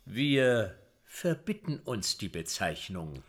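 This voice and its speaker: An actor playing a self-important hired scout in a radio play, with a declamatory delivery. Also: male voice, lowish voice, big pitch swings, takes it slowly, heavy background noise, full character voice. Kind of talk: German radio play acting.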